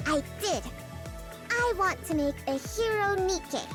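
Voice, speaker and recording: A woman voicing a brainy, proud little girl inventor in a video game, childlike, earnest and expressive. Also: extremely high pitch, takes it slowly, loud music behind the voice, full character voice. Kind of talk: voiced game event dialogue.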